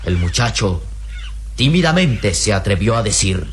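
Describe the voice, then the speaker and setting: A man's voice: fairly low pitch, very animated, unhurried, slight background noise. A man narrating a radio serial in a theatrical, suspenseful way. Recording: old radio serial with music underneath.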